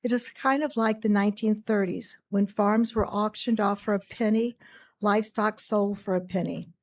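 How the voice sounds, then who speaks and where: Raspy woman reading a letter. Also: medium pitch, fairly even intonation, normal speed. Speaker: public commenter. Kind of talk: reading a prepared letter aloud. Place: county commission meeting room.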